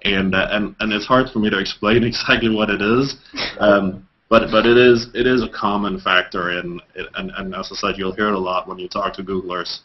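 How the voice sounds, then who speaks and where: A man's voice: on the low side, steady tone, normal speed, muffled recording. A man in his thirties from Denmark, a guest in a video call interview, talking with many fillers and restarts.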